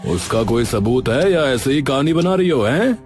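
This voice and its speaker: A man playing a scheming village headman in a cartoon story, smooth at first but bossy and dismissive. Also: mid-range voice, animated, moderate pace, music in the background, natural voice. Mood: stern, bullying. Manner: falsely friendly, then bossy and dismissive. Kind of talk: Hindi cartoon story acting.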